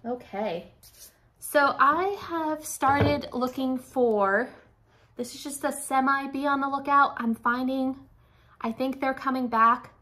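A woman in her forties with a fairly high voice talks casually to her viewers in a home haul vlog. She sounds slightly out of breath and rambles, often correcting herself as she goes. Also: slow, pushed and bright, slight background noise. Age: 40s. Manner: rambling, self-correcting, addresses viewers directly. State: a little out of breath. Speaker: reseller showing flea market finds. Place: furnished room at home, indoors.

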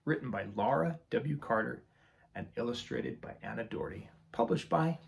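A middle-aged man reading a picture book aloud to children in a deliberate, expressive, teacherly way. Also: medium pitch, vocal fry, slight background noise. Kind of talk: children's storytime read-aloud, scripted. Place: small room with shelves of picture books.

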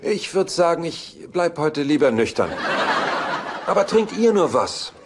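A man's voice: fairly high pitch, big pitch swings, a little slow. An actor playing an exasperated, sardonic womanizer in a German-dubbed sitcom, with a dry, sarcastic delivery. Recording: noisy TV soundtrack rip.

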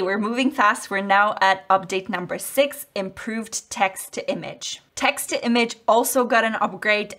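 A woman in her thirties, a native Polish speaker, hosting an upbeat step-by-step design software tutorial on a headset mic in a home office. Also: mid-range voice. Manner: upbeat, friendly, walks through steps. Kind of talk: screen-recorded software tutorial.

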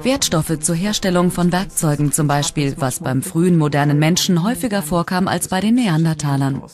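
A woman's voice: low-pitched, a little fast. A woman giving explanatory commentary as the voice of a documentary expert. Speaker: voice-over of an expert interviewee.

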